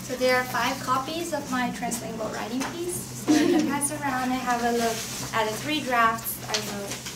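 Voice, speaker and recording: A woman's voice: fairly high pitch, moderate pace. A young woman giving an informal academic presentation as a student, often restarting and repeating her phrases. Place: indoor room at an academic forum. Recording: echoey, noisy room, distant mic.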